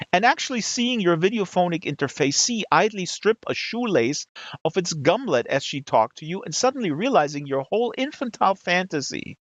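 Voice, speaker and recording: A man reading a satirical novel aloud with deliberate, emphatic phrasing, in a dull lo-fi recording. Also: fairly high pitch, no echo. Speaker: reader of literary excerpts.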